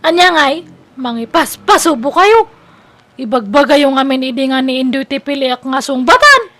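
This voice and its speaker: An actress playing a discontented, whiny young wife and daughter in an Ilocano radio comedy drama, speaking in a plaintive, dramatic way. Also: female voice, high-pitched, lively intonation, moderate pace, a bit bright. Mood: annoyed, complaining. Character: discontented wife, whiny daughter leaving her sick husband.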